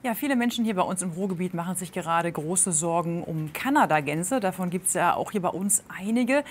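A woman's voice: lowish voice, lively intonation, brisk. Woman reporter, conversational, explaining, faint background noise. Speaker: regional reporter. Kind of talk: live news report.

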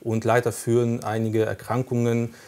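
A man's voice: mid-range voice, monotone, normal speed, a little mellow. A man whose first language is Russian, a police recruitment officer answering studio interview questions in a factual way with frequent hesitations.

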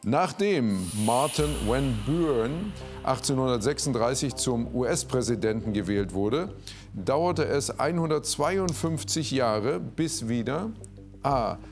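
A man's voice: medium pitch, animated, slow pace, darkish tone. A man hosting a quiz show in a TV studio, presenting live to an audience and guests. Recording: studio with music and audience behind.

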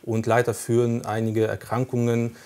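A man's voice: medium pitch, completely flat delivery, normal speed, dark tone. Hesitant man explaining police requirements. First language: Russian. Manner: earnest, explanatory, many hesitations. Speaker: police recruitment officer, studio guest. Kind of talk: live studio interview.

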